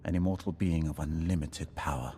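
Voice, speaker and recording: An actor playing a grave, proud Sith lord in a video game, making measured, ominous declarations. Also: male voice, rumbling low, steady tone, a little hiss or hum. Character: grave Sith lord defector, proud, coldly calculating seer. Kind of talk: dramatic video game acting. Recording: loud music behind the voice.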